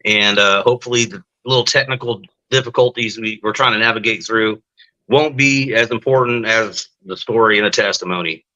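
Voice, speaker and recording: A man's voice: on the low side, brisk, bright, forceful tone, highs cut off. A man hosting a live-stream testimony show, chatting casually with his guest and viewers, with lots of fillers.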